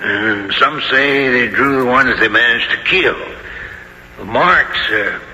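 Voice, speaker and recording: A slow, folksy old backwoodsman. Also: mid-range voice, expressive, pushed and bright, full character voice. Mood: folksy, curious. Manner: folksy rustic speech. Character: gentle, wistful old backwoods man of about sixty. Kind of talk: 1970s radio drama acting. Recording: 1970s radio, cave-like reverb, faint noise.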